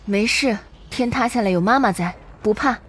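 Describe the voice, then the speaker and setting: A woman's voice: on the high side, normal speed, slight background noise, lightly acted. An actress playing a loving, frightened mother who comforts her small children in a soothing, motherly way in an audio drama. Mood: tender, reassuring.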